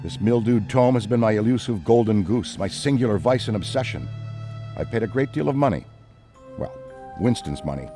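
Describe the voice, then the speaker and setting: An actor playing a wordy, occult-obsessed ghost hunter in a video game, speaking in a pedantic, florid, theatrical way. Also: male voice, medium pitch. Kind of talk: animated game cutscene acting.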